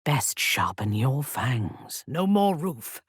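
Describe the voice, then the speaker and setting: An actress voicing a ruthless, imperious female cult commander in a fantasy video game, with a measured, cold and menacing delivery. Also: a bass voice, very animated, slightly rough, no room sound, studio quality, exaggerated voice. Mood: musing, wry.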